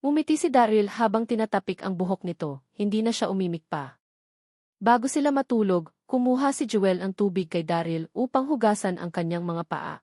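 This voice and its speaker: Woman, medium pitch, scripted novel narrator, dry close sound. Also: expressive, brisk, dark tone, dry recording. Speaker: audiobook narrator.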